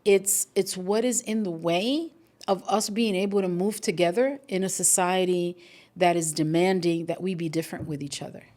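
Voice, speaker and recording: A middle-aged woman, talking thoughtfully and deliberately in a conversational interview, stressing key words. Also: on the low side, fairly even intonation, warm tone. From New York City. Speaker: podcast host and activist being interviewed.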